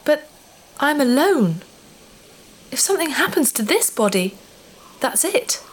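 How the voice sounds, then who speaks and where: A woman playing a frightened, childlike alien in a sci-fi audio drama, speaking in simple, halting, naive phrases. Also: high-pitched, very animated. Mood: lonely, frightened. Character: childlike, frightened alien companion, speaks of itself in third person.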